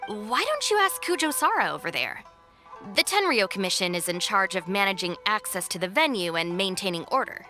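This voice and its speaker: A woman's voice: medium pitch, big pitch swings, a little fast, sharp tone, faint music behind. A woman voicing a sensible, exasperated young gang deputy in a video game, dry and matter-of-fact. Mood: helpful. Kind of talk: animated video game dub acting.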